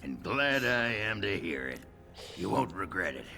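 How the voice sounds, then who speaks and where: A man playing a gruff, crude, boozy dwarf warrior in a fantasy video game, talking in a rough, blunt way. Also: lowish voice, big pitch swings, moderate pace, pushed and bright, soft background music, exaggerated voice. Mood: gruff, friendly.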